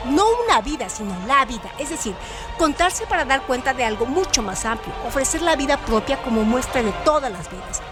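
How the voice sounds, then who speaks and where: A woman with a noticeably high voice narrates a scripted literary text. Her reading is measured and articulate, delivered as an audio storyteller. Music plays clearly behind her. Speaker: narrator, writer and director of an audio story show. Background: background music.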